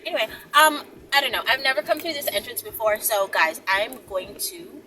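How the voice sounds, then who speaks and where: A woman's voice: a little high, moderate pace. A woman hosting a casual vlog, chatting in a rambling, playful and bossy way, as if giving viewers instructions. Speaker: vlog host. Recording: very noisy, distant mic, vehicle ambience.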